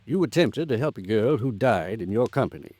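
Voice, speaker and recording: A man playing a formal, frank, fatherly older lawyer in an old-time radio drama, speaking in a measured, protective way. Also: on the low side, expressive, dark tone, no room sound. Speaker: supporting actor.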